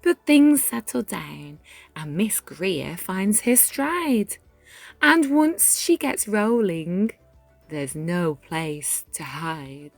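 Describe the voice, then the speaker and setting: Very slow, lively woman reading a storybook. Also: fairly low pitch, gravelly. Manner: measured, dramatic phrasing with deliberate pauses. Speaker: storyteller reading a picture book aloud. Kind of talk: expressive children's read-aloud narration in rhyme. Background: music.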